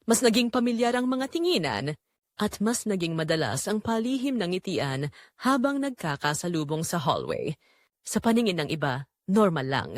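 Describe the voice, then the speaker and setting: A woman's voice: lowish voice, big pitch swings, normal speed, no echo. A woman with a Filipino accent reads a scripted dramatic story as its narrator.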